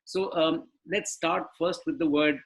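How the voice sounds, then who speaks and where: A man's voice: fairly high pitch, steady tone, measured pace, creaky. Man giving a webinar talk. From India. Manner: conversational, explanatory, asks rhetorical questions. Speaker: webinar panelist. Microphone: video call.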